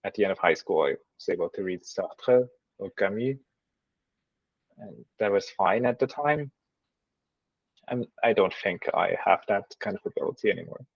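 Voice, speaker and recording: A man in his thirties from Switzerland with a German accent, a guest chatting casually in an interview, thoughtful and halting with frequent restarts. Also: on the low side, lively intonation, gravelly, husky, no room sound, lo-fi sound. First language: Swiss German. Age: 30s. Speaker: guest mathematician.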